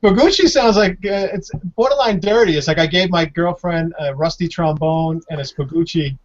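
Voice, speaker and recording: A man's voice: a little high, moderate pace. A man hosting a casual online group chat, agreeable and joking, with slightly dull audio and faint noise. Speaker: online show host.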